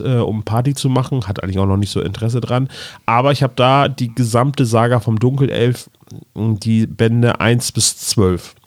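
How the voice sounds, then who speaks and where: A man's voice: on the low side, darkish tone, dry recording, clean studio sound. A man speaking as a podcast host in a casual, chatty style.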